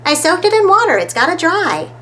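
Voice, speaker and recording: A woman's voice: very high voice, very animated, a little fast, a bit bright, a little hiss or hum, pitched above the performer's own voice, heavily acted. Woman reading as a confident, clever girl detective. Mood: confident, impatient.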